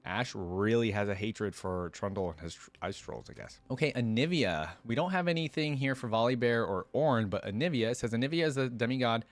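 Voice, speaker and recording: A man's voice: medium pitch, brisk, hardly any pauses. A man hosting a reaction video, reading lore aloud in an informal way and adding his own casual commentary. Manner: informal, interjects own thoughts while reading.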